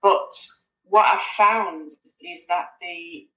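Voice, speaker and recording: A woman's voice: lowish voice, fairly even intonation, very slow, bright, forceful tone, roomy sound, poor audio. A woman, a guest on a podcast, chatting casually with lots of fillers and restarts. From West Yorkshire, England. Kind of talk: casual conversational interview.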